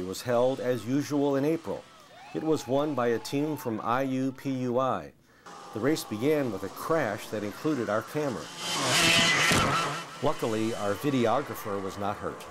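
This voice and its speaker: A man's voice: mid-range voice, lively intonation, moderate pace, heavy background noise. A man reading scripted narration as the narrator of a university newsreel.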